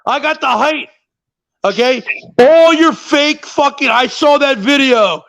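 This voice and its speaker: Loud, crude man with a high voice, needling his friend in dry, close banter. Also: takes it slowly, gravelly, no echo. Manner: brash, profane, mocking, does mimicking voices.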